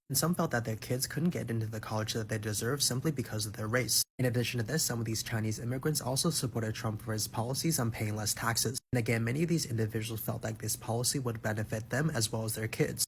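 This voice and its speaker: A man narrating an explainer in a brisk, informative manner. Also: medium pitch, creaky, a little hiss or hum.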